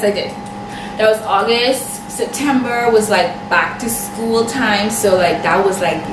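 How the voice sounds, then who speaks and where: A young woman with a Bahamian accent tells a personal story to camera in a chatty, animated way, full of asides and quoted back-and-forth. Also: mid-range voice, far from the mic. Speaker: makeup artist vlogger. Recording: echoey room, off-mic, steady background noise.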